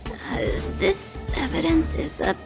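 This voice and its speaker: A woman's voice: very high-pitched, takes it slowly, vocal fry, over music, heavily acted. A woman voicing an earnest, deferential boy guard in an anime-style video game, speaking politely and hesitantly. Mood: flustered, defensive.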